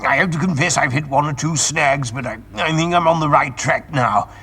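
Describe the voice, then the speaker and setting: A man's voice: fairly high pitch, brisk, pushed and bright, husky, exaggerated voice. A man playing a genial, enthusiastic retired aristocrat scientist in an audio drama.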